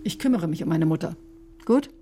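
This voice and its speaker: A woman's voice: fairly low pitch, animated, fairly quick, dark tone, faint music behind, natural voice. An actress playing a defensive, conscience-stricken woman doctor in a radio drama, recounting events in a precise, clinical way. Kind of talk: German radio drama acting, testimony-like narration.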